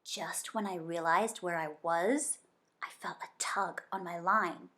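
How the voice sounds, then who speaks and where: A woman reading a children's story aloud in an expressive, dramatic way. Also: fairly low pitch, measured pace. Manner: dramatic, varying from hushed suspense to emphatic excitement. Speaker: children's book reader.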